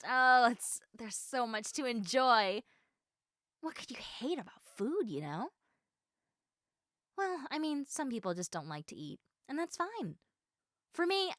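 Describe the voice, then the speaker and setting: A woman's voice: on the high side, a bit bright, no echo, clean studio sound. A woman playing a quirky, socially awkward, chatty young woman eager for friends, rambling and self-deprecating straight to the listener in an audio roleplay. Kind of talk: one-sided audio roleplay addressed to the listener.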